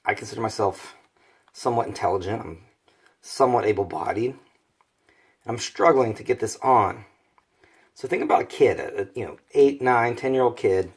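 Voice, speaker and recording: A hoarse man, rambling casually through a toy collector's unboxing video. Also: fairly low pitch, moderate pace, long pauses. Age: 30s. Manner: rambling, many fillers and restarts. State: hoarse from bronchitis.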